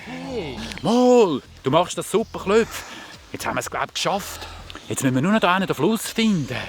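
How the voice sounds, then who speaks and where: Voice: mid-range voice, normal speed. An actor voicing an eager, encouraging boy adventurer with a Swiss German accent in a children's radio play. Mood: excited, urging. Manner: urging, excitable. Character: eager, encouraging boy adventurer leading his tired friend.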